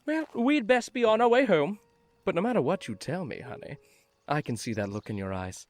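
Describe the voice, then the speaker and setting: A man's voice: high-pitched, big pitch swings, brisk. A man playing a gracious, teasing older retired carpenter in a fan audio drama, with a theatrical, effusive and affectionate manner. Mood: knowing, breezy. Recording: music under the voice.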